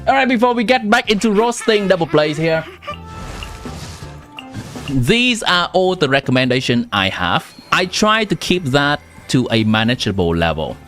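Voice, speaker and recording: A man's voice: a little high, very animated, moderate pace, fairly bright tone. A young man from Vietnam, a native Vietnamese speaker with a Vietnamese accent, chatting casually on a live game stream and answering viewers while giving game advice. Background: game music.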